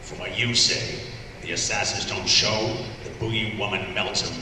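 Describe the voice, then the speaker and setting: A man playing gruff, mocking cartoon heroes and rogues in a punchy, dramatic way at a live table read. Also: on the low side, steady tone, normal speed, recorded from a distance, natural voice. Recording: echoey convention hall from afar, noisy.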